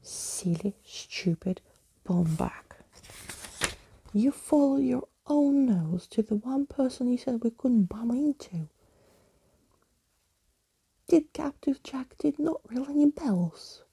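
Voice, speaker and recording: A woman's voice: fairly high pitch, lively intonation, slow, pauses often, dark tone, slightly rough, no echo, pitched above the performer's own voice, exaggerated voice. A woman voicing a cross young girl in a storybook, blurting and scornful as she scolds. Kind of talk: acted storybook reading.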